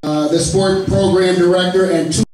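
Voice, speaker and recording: A man's voice: sits high, fairly even intonation, darkish tone. A man hosting a press conference, announcing athletes and joking with the panel in a genial way. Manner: genial, joking, lists names with pauses. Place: large echoey indoor hall. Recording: echoey hall recorded from far off, noisy.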